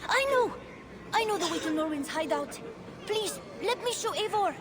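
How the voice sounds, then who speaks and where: High, reverberant young boy prince, played by a woman. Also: lively intonation, moderate pace, very noisy. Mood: eager. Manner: eager, pleading, childlike. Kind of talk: dramatic video game acting.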